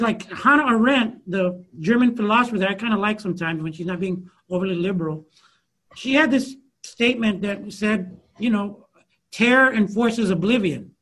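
High-voiced man, halting author. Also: a bit bright. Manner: many fillers and restarts, searching for words. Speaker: author answering audience questions. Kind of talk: thoughtful conversational Q&A answer.

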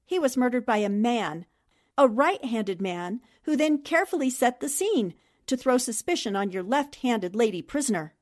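A shrewd newspaperwoman sleuth from a 1940s radio mystery, played by an actress. Her voice sits a little high, and she speaks with self-assured, methodical precision. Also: very animated, moderate pace, darkish tone. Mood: confident, methodical.

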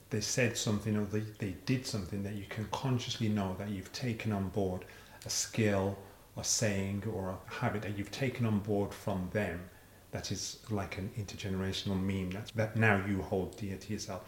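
A man's voice: on the low side, steady tone, normal speed, few pauses, roomy sound, a little hiss or hum. A man conducting a reflective interview, asking thoughtful questions and backing them with images and his own memories. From family roots in Jamaica. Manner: reflective, uses metaphors, frequent affirmations. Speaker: interviewer, sound engineer. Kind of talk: conversational interview.

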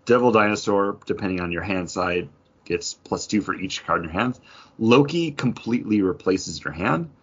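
A man's voice: on the low side, expressive, moderate pace, breathy edge, muffled recording. A man co-hosting a casual gaming chat, explaining things in detail with plenty of slang. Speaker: podcast co-host.

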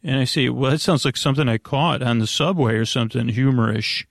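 A man with a creaky voice, hosting a bedtime podcast in a halting, rambling monologue that keeps backtracking. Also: mid-range voice, fairly even intonation, no echo, studio quality. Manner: halting, meandering, repeats and backtracks. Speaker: podcast host.

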